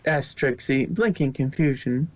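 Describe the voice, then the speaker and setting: A man's voice: medium pitch, a little slow, no room sound, highs cut off. A man narrating a fan fiction story in an amateur reading.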